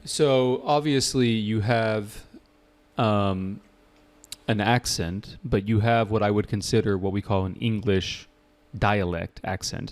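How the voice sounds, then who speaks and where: A man's voice: lowish voice, a little mellow. A man with an American accent, an English exam teacher giving spoken feedback in a class, chatty and repeating himself to drive his points home. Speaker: IELTS teacher.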